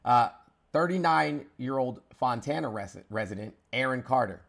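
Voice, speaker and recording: A man's voice: mid-range voice, lively intonation, normal speed, creaky, fairly bright tone. Lecturing, condescending male debate host. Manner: condescending, lecturing, many restarts. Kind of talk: heated debate.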